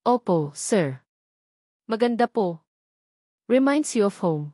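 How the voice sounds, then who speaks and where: A woman's voice: mid-range voice, expressive, slow pace, warm tone, dry recording. Casting: woman narrator as guarded young female employee in a romance audiobook, hesitant, polite, self-conscious, dry close sound. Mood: shy, polite.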